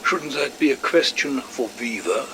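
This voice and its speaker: An actor playing a fussy, wry scientist with a formal, pedantic manner in an audio drama. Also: male voice, medium pitch, expressive, a little slow, bright, forceful tone, a little hiss or hum. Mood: dry, needling. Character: fussy, wry, verbose male scientist, smugly playful.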